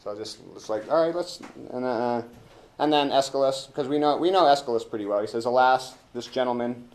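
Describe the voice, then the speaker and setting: Halting American man teaching in an echoey room. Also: medium pitch, darkish tone. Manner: halting, rambling, colloquial; joking. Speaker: teacher leading a class discussion of a play. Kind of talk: informal classroom lecture and discussion. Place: indoor classroom. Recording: roomy classroom echo, background hiss.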